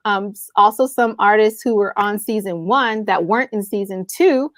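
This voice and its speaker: An American woman talks as a guest in a casual interview. Her voice sits at an average pitch and her delivery is enthusiastic and emphatic. The sound is dry, with very little room. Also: moderate pace, dry recording. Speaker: podcast guest, audio drama writer and producer.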